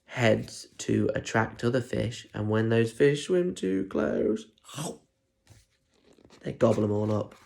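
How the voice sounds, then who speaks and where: A man's voice: lowish voice, takes it slowly, vocal fry, a little mellow. A man reading a picture book aloud to children with lots of expression, breaking off for chatty asides and questions. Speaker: primary school teacher reading aloud.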